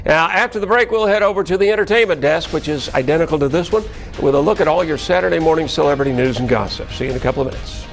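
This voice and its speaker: A man's voice: fairly high pitch, racing, soft background music. An American man anchoring scripted television news with a wry delivery and dry asides.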